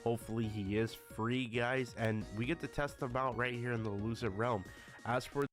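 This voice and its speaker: A man's voice: mid-range voice, steady tone, normal speed, a bit bright, soft background music. A man who is a little under the weather, talking casually to viewers about a game update. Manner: addresses viewers often as guys. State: a little sick. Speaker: gaming YouTuber. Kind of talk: casual video commentary.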